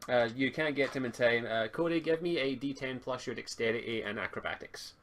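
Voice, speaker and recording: A man's voice: mid-range voice, brisk, a bit bright. A man acting as game master, narrating a tabletop roleplaying game in an informal, improvised way. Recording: noisy home mic with clicks.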